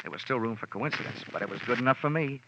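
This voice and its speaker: An actor narrating as a wry insurance investigator in a 1940s radio drama, with clipped first-person narration. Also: male voice, mid-range voice, animated, fast talker, heavy background noise, lightly acted. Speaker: narrator and lead detective. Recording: lo-fi old radio recording.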